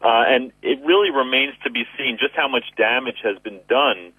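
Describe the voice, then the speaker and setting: A man's voice: mid-range voice, moderate pace, sharp tone, slightly rough, no room sound. A man giving market commentary as a guest analyst over the telephone. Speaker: wealth manager, guest analyst. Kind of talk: market commentary in a phone interview. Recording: narrow tinny phone line.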